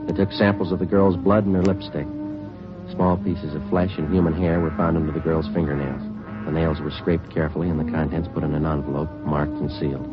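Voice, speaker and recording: An actor playing a terse police detective who narrates a 1950s radio drama in a flat, matter-of-fact way. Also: male voice, rumbling low, a little fast. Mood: narrating. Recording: muffled vintage radio broadcast with music.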